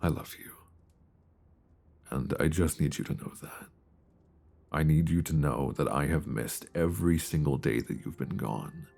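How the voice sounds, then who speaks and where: A man as a very deep-voiced, lovestruck friend. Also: creaky, faint music behind, heavily acted. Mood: tender, longing. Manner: hesitant, soft, earnest, with sighs and pauses. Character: lovestruck longtime male friend confessing love, tender and nervous. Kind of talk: intimate ASMR romance roleplay. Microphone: close binaural microphone.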